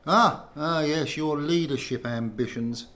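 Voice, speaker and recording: A man plays a mild-tempered minister in a political radio drama. His voice is slightly high, with a hesitant, wry way of talking. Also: a little slow, fairly bright tone. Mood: wry, musing.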